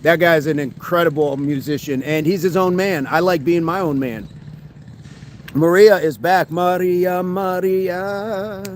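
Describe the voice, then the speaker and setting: A man's voice: a little high, fairly even intonation, measured pace, a little hiss or hum. A man hosting a fitness livestream outdoors, chatting upbeat with viewers and reading their comments from a phone. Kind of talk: casual livestream chat with viewers. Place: outdoors among trees.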